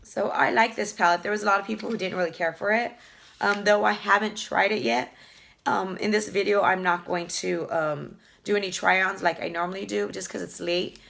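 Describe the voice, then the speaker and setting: A woman beauty vlogger chatting casually through an unboxing, hoarse from a lingering cough, rambling fondly about each item. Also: medium pitch, fairly even intonation, moderate pace, a bit bright. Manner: chatty, fond, rambling product talk with fillers. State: hoarse, losing her voice from a cough.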